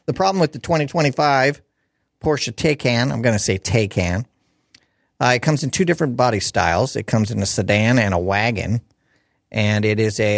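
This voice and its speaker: A man hosting a call-in radio show, talking casually with lots of fillers and restarts, his voice hoarse. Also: mid-range voice, dry recording, clean studio sound. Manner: rambling, chatty, many fillers and restarts.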